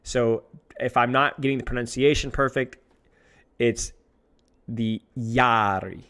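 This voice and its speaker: A man's voice: mid-range voice, fairly even intonation, slow, fairly bright tone. A man streaming live, chatting casually to his viewers in an exasperated, self-mocking, rambling way.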